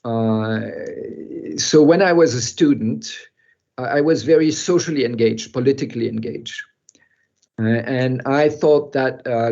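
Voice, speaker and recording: An older man who grew up in Europe, speaking as a guest in an online talk and telling a personal story in a halting, reflective way with soft laughs. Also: mid-range voice. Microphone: video call. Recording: muffled video call audio.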